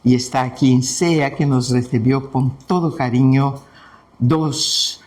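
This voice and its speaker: Brazilian guy talking spanish w/ a portuguese accent, gentle devotional talk, really slow, middle-pitched. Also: steady tone. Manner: gentle, unhurried, with frequent pauses. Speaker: spiritist study presenter.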